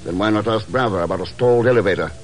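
An actor playing a cool, decisive secret agent with clipped, dry delivery in a 1950s radio drama. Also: male voice, on the low side, rapid-fire, close to an everyday voice.

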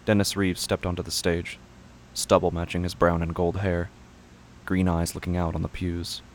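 A man narrating a scripted horror audio drama in short, deliberate, clipped fragments. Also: low-pitched, level tone, a little hiss or hum.

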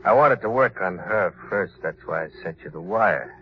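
A man's voice: sits low, lively intonation. A crazed, vengeful gangster from an old radio thriller. His voice swings wildly as he taunts and gloats. The sound is dull and lo-fi, with a faint hiss. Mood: menacing, taunting. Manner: taunting, drawn-out gloating with maniacal laughter. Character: unhinged, vengeful gangster feigning death, gloating killer. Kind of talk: old radio crime drama acting.

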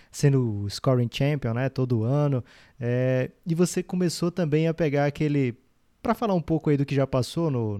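A man's voice: medium pitch, mellow, no echo, studio quality. A man with a Brazilian accent co-hosting a casual, joking sports podcast. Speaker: podcast co-host.

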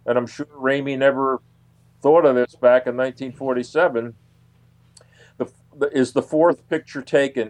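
A man gives unscripted answers in a radio interview. His pitch is average and his delivery halting, as he searches for the next word. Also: darkish tone. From United States. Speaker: guest, UFO researcher.

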